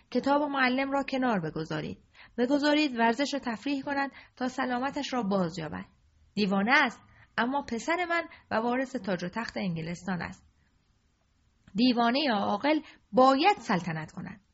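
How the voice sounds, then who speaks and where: A woman voicing an aging male king who is commanding and fatherly, with a husky voice on a dull lo-fi recording. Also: on the high side, voice pushed up. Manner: imperious, loud commands.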